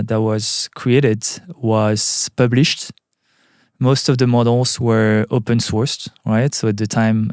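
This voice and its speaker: A man's voice: lowish voice, a little mellow, clear, resonant tone, dry recording. French-accented man, dry close sound. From France. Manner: thoughtful, with frequent fillers and restarts. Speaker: tech CEO guest. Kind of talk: podcast interview.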